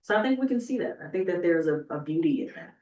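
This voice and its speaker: A woman's voice: on the low side, animated, fast, dark tone. Distant woman panelist in a roomy hall. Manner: thinking aloud, frequent "like" and "right?". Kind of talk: panel discussion. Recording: roomy hall, speaker far from mic.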